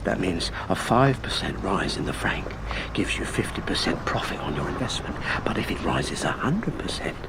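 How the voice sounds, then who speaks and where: Scheming clerk, very animated man. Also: sits low, moderate pace, vocal fry, very noisy, full character voice. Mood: furtive, persuasive. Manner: formal, old-fashioned, self-important phrasing. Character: anxious, scheming bank clerk and family father. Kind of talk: period audio drama acting.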